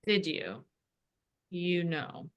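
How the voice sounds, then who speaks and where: A woman's voice: lowish voice, very animated, very slow, highs cut off, heavily acted. A woman reading the part of a sassy housemate at a table read, teasing and pointed as she eggs others on. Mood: prying. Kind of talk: informal script table read.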